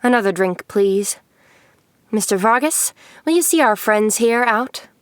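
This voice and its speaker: A woman playing a defiant, cutting femme fatale in an old-style detective radio drama, crisp and imperious. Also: on the high side, expressive, unhurried, dry recording, clean studio sound. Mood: cool, commanding.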